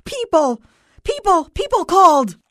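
A woman's voice: very high-pitched, big pitch swings, a little slow, fairly bright tone, no room sound, clean studio sound, voice pushed up, exaggerated voice. A woman voicing a hysterical wife who sobs, wails and repeats herself as she begs for news of her hurt husband. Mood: frantic. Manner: wailing, sobbing, repeats phrases three times.

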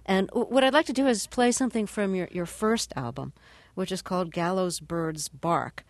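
A woman hosting a radio interview, speaking in a measured, thoughtful way. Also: fairly low pitch, dry recording. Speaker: radio host.

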